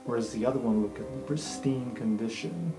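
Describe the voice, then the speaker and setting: A man's voice: medium pitch, moderate pace. A man narrating an improvised tabletop game as game master, in a casual, conversational way with some swearing. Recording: roomy, music underneath. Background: background music.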